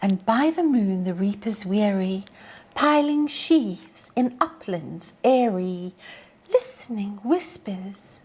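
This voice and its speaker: A woman teacher introducing and reading a narrative poem to schoolchildren in a deliberate, expressive way. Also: mid-range voice, slightly rough. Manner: deliberate, expressive, addressing children. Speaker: teacher reading a story to pupils. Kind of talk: storytime introduction and dramatic poetry reading.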